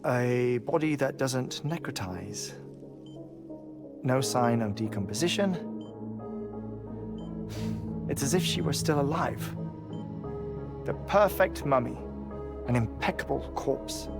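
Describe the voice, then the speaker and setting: An actor playing an eccentric, grieving middle-aged scientist in a video game, speaking in a deliberate, theatrical, eloquent way with thoughtful pauses. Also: male voice, medium pitch, lively intonation, gravelly. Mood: intrigued. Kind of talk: dramatic game cutscene acting. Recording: game soundtrack music behind voice. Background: music.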